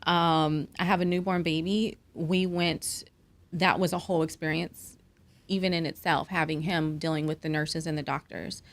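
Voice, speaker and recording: A woman's voice: low-pitched, steady tone, moderate pace. A woman doctor speaking as a podcast guest, telling her story in an earnest, reflective way with frequent fillers. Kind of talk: conversational interview.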